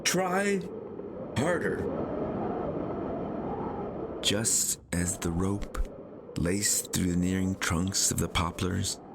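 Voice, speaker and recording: Slow, low-pitched man reading literary narration. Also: level tone, gravelly, background noise. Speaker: author reading own audiobook.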